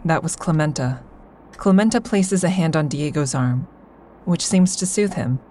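A woman's voice: sits low, lively intonation, moderate pace, warm tone, a little hiss or hum. A woman playing a sardonic, anxious insomniac, confiding dryly as she narrates a first-person audio drama. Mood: wry, observant. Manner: wry, confiding, dry.

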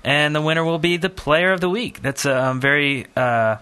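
A man's voice: on the high side, moderate pace, bright, forceful tone, clean tone. A man co-hosting a podcast in casual chat, rambling along in a jokey, self-deprecating way. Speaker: podcast co-host.

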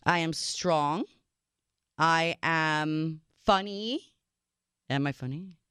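A woman from Minnesota whose first language is Serbian, co-hosting a radio show in quick, upbeat casual talk. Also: low-pitched, a bit bright, clear, resonant tone, no echo, studio quality.

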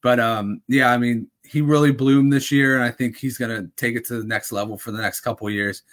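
Casting: young male podcast host, casual rambling sports talk, slightly raspy, mid-pitched. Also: steady tone, brisk, fairly bright tone. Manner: rambling, full of fillers and restarts. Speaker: fantasy football podcast host.

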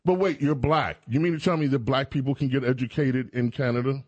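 A man's voice: medium pitch, fairly quick, no echo, dull audio. A man hosting a talk radio show in a studio, chatting with guests in a sarcastic, mocking way. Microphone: studio microphone.

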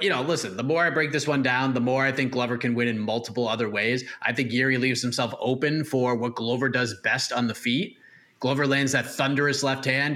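Male sports show host, bright forceful voice, medium pitch, emphatic and conversational. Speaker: host of an MMA preview show. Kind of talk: casual sports talk, punchy fight analysis.

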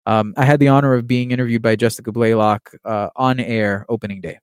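A young man from Miami, Florida, talking earnestly and quickly in a casual monologue, with plenty of fillers and restarts. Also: medium pitch, steady tone, clear, resonant tone, no room sound, clean studio sound. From Miami, Florida, USA. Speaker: podcast host and baseball writer.

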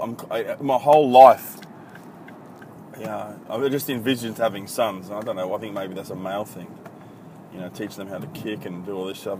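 A man in his thirties from country Victoria with an Australian accent, hosting a casual solo podcast in a blunt, profane, rambling way full of ums. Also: on the low side. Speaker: podcast host. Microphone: iPhone. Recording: noisy phone recording with traffic.

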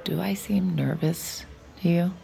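A nervy, guarded woman in an audio drama. Her low voice crackles with fry and moves slowly, hesitant and wry, as if doubting herself. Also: dark tone. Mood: nervous. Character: guarded, nervy adult woman, secret mind reader, wry. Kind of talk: audio drama acting, intimate narration and dialogue.

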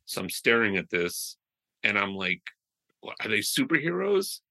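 A man chatting as a podcast guest, telling stories with animated restarts and trailing phrases. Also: on the low side, moderate pace, gravelly. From United States. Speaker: guest musician. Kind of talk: casual interview chat.

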